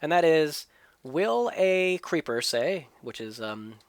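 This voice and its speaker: A man's voice: on the high side, very animated, takes it slowly, clear, resonant tone, no echo. A man hosting a let's play, explaining what he builds and tests as he goes, his voice a little stuffy from a cold. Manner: explanatory, thinking aloud. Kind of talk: gameplay commentary and tutorial.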